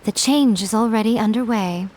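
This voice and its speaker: A woman's voice: on the high side, moderate pace, a little mellow, a little hiss or hum, natural voice. Sly, teasing fox shrine maiden, played by a woman. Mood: knowing, amused. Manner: teasing, poised, knowing. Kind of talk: video game voice acting.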